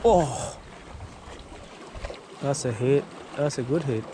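A man giving casual running commentary while fishing on a rocky breakwall, recorded on a head-mounted action camera, breaking into excited whoops when a fish bites. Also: medium pitch, takes it slowly. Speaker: fishing vlogger. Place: outdoors on a rocky breakwall by the sea. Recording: outdoor wind and water noise.

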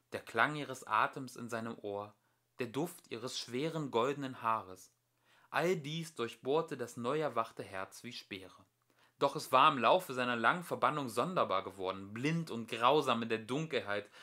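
A young adult man reading a fairy tale aloud as an amateur audiobook narrator, with expressive emphasis. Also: mid-range voice.

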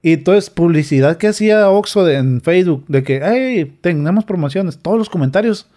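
A young man, telling a story as a podcast guest, animated, acting out quoted comments in a raised voice. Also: high-pitched, normal speed. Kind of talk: casual storytelling. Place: small studio with a sofa. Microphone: studio mic on a boom arm, wearing headphones.

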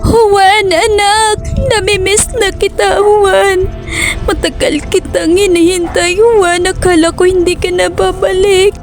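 A woman's voice: very high voice, level tone, slow pace, soft background music, full character voice. A woman voicing a fretful loving village mother. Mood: tender. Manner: scolding then tender, fussing. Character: loving worried village mother, middle-aged. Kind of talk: storybook cartoon voice acting.

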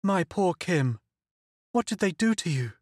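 A man's voice: high voice, expressive, unhurried, no echo, clean studio sound, voice pushed up. Man putting on a pleading, tearful young woman. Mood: frightened. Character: frightened desperate young woman, devoted. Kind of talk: audiobook character voice.

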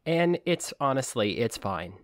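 Man, podcast co-host, lively swooping intonation, joking, casual chat, dry close sound. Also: mid-range voice, unhurried, dry recording.

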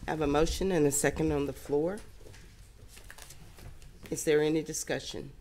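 A woman's voice: low voice, steady tone, normal speed, warm tone. A middle-aged woman speaking formally as mayor, chairing a meeting from a desk microphone in a council chamber. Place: large indoor council chamber. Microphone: desk gooseneck microphone. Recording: clear background noise in meeting room.

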